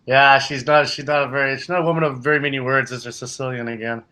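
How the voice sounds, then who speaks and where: A man with a bright, forceful voice at an average pitch talks casually. He rambles earnestly, circling back and repeating his phrases as he goes. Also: fairly even intonation, quick pace, smooth. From Canada. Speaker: jiu-jitsu coach. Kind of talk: casual chat.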